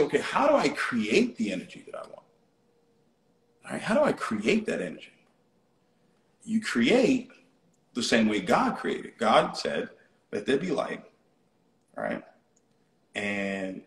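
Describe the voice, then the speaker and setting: A man hosting a live online motivational talk, repeating key phrases, asking rhetorical questions and checking in with his listeners often. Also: mid-range voice. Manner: repetitive, rhetorical questions, frequent "all right?" check-ins. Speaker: motivational speaker, live stream host. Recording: roomy, echoey sound.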